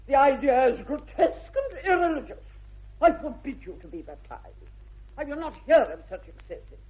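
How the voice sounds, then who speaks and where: A woman's voice: a little high, husky. An actress playing a snobbish, haughty older aristocratic lady with an upper-class English accent, pompous and precise, in a British radio comedy. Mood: indignant. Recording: dull vintage radio recording.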